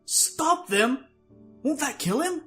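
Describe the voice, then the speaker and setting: A man's voice: extremely high pitch, expressive, slow, fairly bright tone, exaggerated voice. A man playing an anxious, urgent young comrade in an audio drama. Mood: alarmed. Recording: music under the voice.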